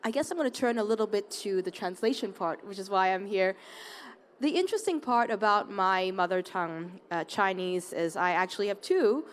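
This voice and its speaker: A woman's voice: mid-range voice, expressive, moderate pace. A woman from Hong Kong speaking on a conference panel, earnest, often restarting and repeating words. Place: conference open area.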